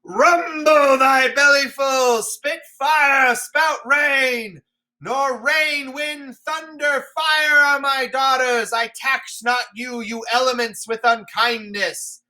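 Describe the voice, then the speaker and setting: A man voicing a raging old king who shouts defiance at a storm in slow, drawn-out declamation. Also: very high-pitched, fairly even intonation, pitched above the performer's own voice, exaggerated voice.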